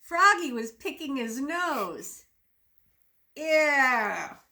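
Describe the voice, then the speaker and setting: Very high-pitched woman teacher reading a storybook. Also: very slow. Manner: expressive, emphatic sound words, simple asides to children. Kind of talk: animated children's storybook read-aloud.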